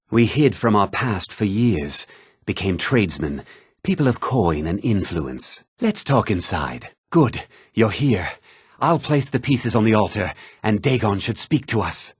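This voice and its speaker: An actor playing an obsessed, earnest museum keeper with cult roots in a fantasy video game, in a theatrical, rough-edged voice. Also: male voice, lowish voice, no room sound, studio quality. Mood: businesslike, eager. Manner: theatrical, earnest, swinging delivery. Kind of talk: scripted video game dialogue.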